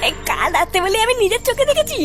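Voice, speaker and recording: A woman voicing an excitable, insistent village father in a comedy cartoon. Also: extremely high pitch, rapid-fire, over loud music. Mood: pleading. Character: squeaky, excitable village father, indignant and pleading.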